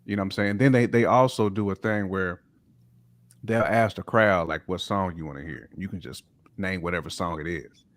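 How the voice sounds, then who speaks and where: A man's voice: low-pitched, moderate pace, dry recording. A man co-hosting a podcast, chatting casually and enthusiastically about hip hop with plenty of slang. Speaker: podcast co-host.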